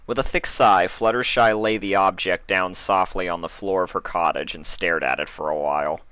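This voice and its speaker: A man reading a scripted story as narrator, with dramatic, deliberate delivery. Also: sits low, fairly even intonation, sharp tone, clear, resonant tone, no echo. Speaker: fan fiction reader and narrator.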